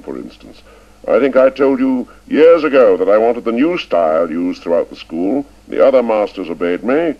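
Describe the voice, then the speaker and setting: A man's voice: medium pitch, voice pushed up. A man voicing a cold, stern middle-aged headmaster who speaks in a clipped, formal way. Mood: icy. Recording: dull lo-fi recording.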